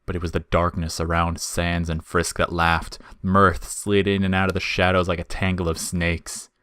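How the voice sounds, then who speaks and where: Deep-voiced male story narrator. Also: completely flat delivery, moderate pace, bright, forceful tone, clear, resonant tone, dry recording, studio quality. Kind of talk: scripted dramatic story narration.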